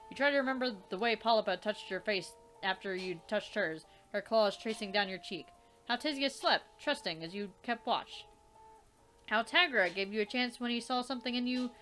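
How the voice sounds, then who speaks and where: Woman let's-play host, fairly high voice, reads narration and rants with casual swearing over faint music. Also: a bit bright, low-quality recording. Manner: swears freely, laughs, exasperated commentary.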